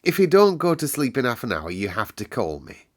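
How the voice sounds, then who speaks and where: A man's voice: mid-range voice, wildly swooping pitch, a little fast, pitched above the performer's own voice. A man voicing a sleepy young woman nurse in a storybook, hesitant and reluctant.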